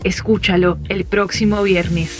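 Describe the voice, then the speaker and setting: Even, measured female podcast announcer. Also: mid-range voice. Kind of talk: scripted narration, podcast credits. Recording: music under the voice.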